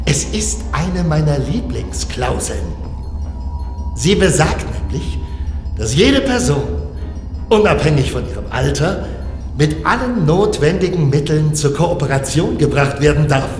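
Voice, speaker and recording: An actor playing a cold, sneering commander who despises children, in a children's audio drama, deliberate and threatening. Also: male voice, fairly high pitch. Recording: echoey hall reverb, music underneath.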